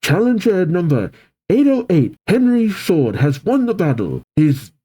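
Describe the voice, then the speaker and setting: Man doing a high-pitched excitable stadium announcer. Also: a little slow, husky, full character voice. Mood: proclaiming. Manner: declarative, proclaiming.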